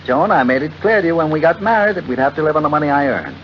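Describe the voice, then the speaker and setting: An actor playing a doting, proud husband with a hearty, theatrical manner, in 1940s radio drama. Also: male voice, on the high side, animated, racing, natural voice. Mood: affectionate, proud. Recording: muffled, hissy old radio recording.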